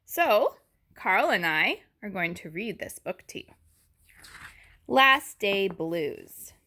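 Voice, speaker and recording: A woman teacher reading a picture book aloud to young children, deliberately and expressively, with explanatory asides and questions. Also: mid-range voice, very animated, pushed and bright. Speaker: elementary school teacher reading to her class.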